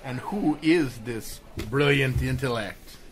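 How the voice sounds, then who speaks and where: An actor playing a wry, teasing male gentleman of leisure, in 1930s-style radio drama acting. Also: medium pitch, takes it slowly. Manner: dry, teasing, urbane.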